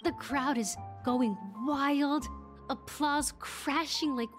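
A woman's voice: sits high, fairly even intonation, takes it slowly. An actress voicing a wistful, obsessive young female performer in a video game, with a theatrical, yearning delivery. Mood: dreamy longing. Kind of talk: video game character acting. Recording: game dialogue over background music.

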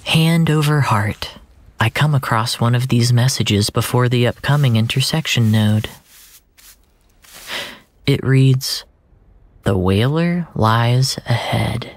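A storyteller narrating first-person literary fiction in a deliberate, brooding manner, with phrases that sink into a hushed breath. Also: mid-range voice, vocal fry, no room sound.

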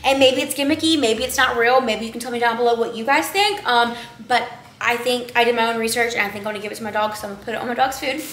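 A woman's voice: fairly high pitch, quick pace, fairly bright tone. A young woman, chatting to camera as a vlogger in her home kitchen, rambling with lots of "like" and "um". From Texas. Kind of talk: casual haul chat to camera. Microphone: camera on the counter at a distance. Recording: echoey kitchen with clear background noise.

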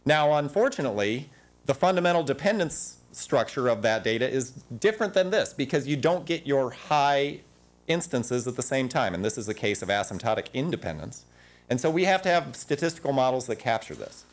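Man, forties, panel speaker, lapel mic, conference room, animated, restarts. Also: mid-range voice, a little fast. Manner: animated, gesturing at slides, frequent restarts. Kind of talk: academic panel talk.

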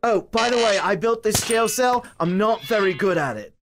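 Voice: mid-range voice, lively intonation, normal speed, noisy background, natural voice. A performer playing an earnest, clueless young hero in an improvised comic film dub, awkward and blurting. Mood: cheerfully clueless. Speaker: improv fandub voice actor.

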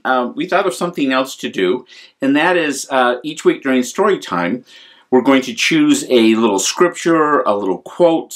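A man in his fifties, a priest hosting a children's story time, talking informally and devotionally in simple short phrases. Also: medium pitch. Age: 50s. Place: small furnished room at home, indoors.

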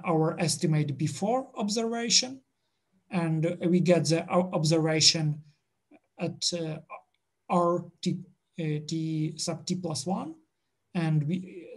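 A man presenting to a study group over a video call, pausing often with filler sounds as he works through the slides. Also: a little high. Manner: halting, many filler sounds, slow and deliberate.

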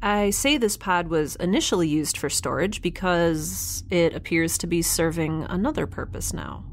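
A woman playing a young, geeky, kindhearted space scientist in an audio drama, recording an informal log in a halting, self-correcting way. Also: lowish voice, animated, slight background noise. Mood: curious, observing.

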